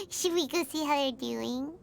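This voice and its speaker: A woman voicing a tiny, cheerful, childlike fairy companion with a squeaky voice in a video game. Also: gravelly, heavily acted.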